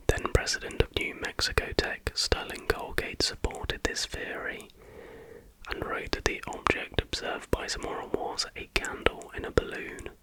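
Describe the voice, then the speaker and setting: A man whispers softly and without hurry in an informal ASMR narration, as the host of the video. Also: very high voice, poor audio.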